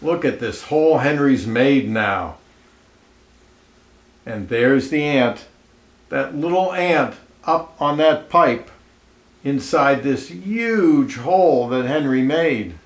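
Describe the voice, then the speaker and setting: A man reading a children's picture book aloud expressively, pausing to comment and ask listeners questions, in an echoey room. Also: medium pitch, animated. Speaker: storybook reader. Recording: roomy, echoey indoor sound.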